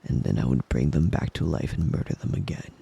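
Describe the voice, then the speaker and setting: A man playing a possessive, doting young wolf-boy lover in intimate ASMR roleplay, coaxing and teasing in a breathy, husky voice that often drops to a whisper. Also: rumbling low, expressive, mellow, lo-fi sound, exaggerated voice. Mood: possessive, affectionate. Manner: slow, coaxing, teasing endearments.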